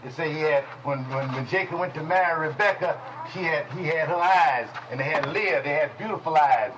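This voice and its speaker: A man teaching a congregation in a preaching style, folksy and call-and-response, with speech, dropping at times to low murmurs. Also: brisk, noisy background. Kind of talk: preaching Bible lesson. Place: congregation hall.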